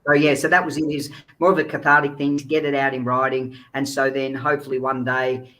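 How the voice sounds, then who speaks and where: A man's voice: medium pitch, monotone, fairly quick, bright, forceful tone. A man giving informal, earnest, explanatory answers as an interview guest on a video call. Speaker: guest speaker, child recovery agency founder.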